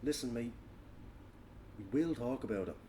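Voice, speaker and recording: A man's voice: medium pitch, lively intonation, moderate pace, warm tone, lightly acted. An actor playing a guarded, irritable man just out of prison, giving curt replies in a script read. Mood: subdued. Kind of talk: informal table read of a script. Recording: clear background room noise.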